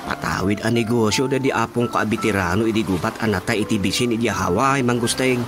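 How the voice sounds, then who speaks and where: A man's voice: lowish voice, brisk, close to an everyday voice. A man with an Ilocano accent playing a polite, earnest young man in a radio drama. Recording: radio drama with music underneath.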